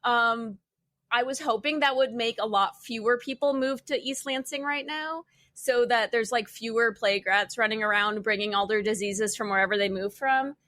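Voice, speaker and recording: A young adult woman chatting casually as a podcast panelist, telling things in an informal, wry and animated way. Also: fairly high pitch, moderate pace, no room sound, studio quality. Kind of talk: casual chat.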